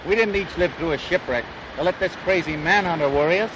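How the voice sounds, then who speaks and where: An actor playing a resolute, protective castaway hunter in a brisk, confident, old-time radio drama style. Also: male voice, sits high, natural voice. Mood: urging, determined. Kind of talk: 1940s radio drama acting. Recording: muffled, noisy old-time radio recording.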